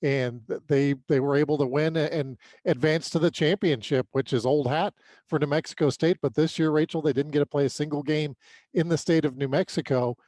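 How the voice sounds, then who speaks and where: A man's voice: fairly high pitch, fairly even intonation, brisk, darkish tone, dry recording. Man recapping games, dry close sound. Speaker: sports podcast co-host and analyst. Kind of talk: unscripted sports recap commentary.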